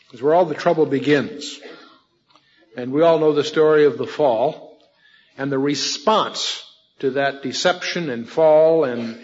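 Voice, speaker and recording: A man giving an evening Bible lecture, asking his own questions aloud and then answering them, slowing down to list references. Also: on the high side. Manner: lists references at a slow measured pace. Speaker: Bible teacher. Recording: dull long-reverb hall recording.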